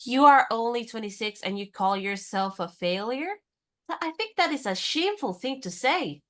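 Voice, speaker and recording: A woman playing a plucky, independent American in her twenties in a radio comedy, speaking in a brisk, forthright, encouraging way. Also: fairly high pitch, lively intonation, fairly bright tone. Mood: brisk, urging. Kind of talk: radio play comedy dialogue.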